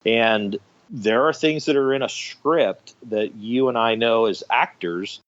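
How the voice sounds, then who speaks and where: A man's voice: medium pitch, takes it slowly, lo-fi sound. A man speaking as a radio theatre director in an interview, explaining things conversationally, step by step and with examples.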